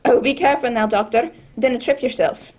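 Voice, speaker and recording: A woman playing a fussy, scolding housekeeper with a creaky, husky voice in an amateur radio drama. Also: fairly high pitch, fairly quick, natural voice. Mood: fretful.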